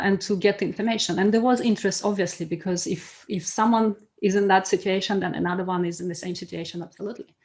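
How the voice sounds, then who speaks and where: A woman's voice: medium pitch, a little mellow. A woman in her thirties with a non-native English accent gives an informal talk, hesitantly searching for words. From expat living in Belgium, grew up abroad. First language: not English. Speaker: speaker, event producer. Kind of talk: informal online talk sharing personal lessons. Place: small home room, indoors. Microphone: video call, computer mic.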